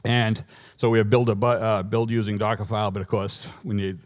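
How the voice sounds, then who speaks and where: A man's voice: on the low side, a little fast. A man with a Boston accent giving a technical talk at a conference, joking with the audience and often correcting himself mid-sentence. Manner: lively, joking, rambling with self-corrections. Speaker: conference speaker. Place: conference hall before an audience.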